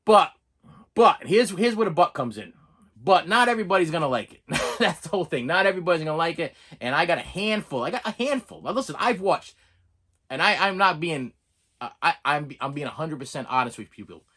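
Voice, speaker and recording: A man's voice: a little high, very animated, brisk, pushed and bright, slightly rough. A middle-aged man with a Boston accent, talking to camera in a rambling, chatty review monologue, often repeating and restarting words and laughing. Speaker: movie review vlogger.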